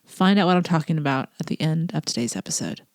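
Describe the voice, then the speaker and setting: A woman's voice: deep voice, expressive, quick pace, no echo, studio quality. A woman with a nasal voice, stuffed up with a cold, hosting her own podcast in an earnest, reflective way. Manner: earnest, reflective, drops to breathy whispers at times. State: congested with a cold. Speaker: podcast host; teacher. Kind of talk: casual solo podcast talk.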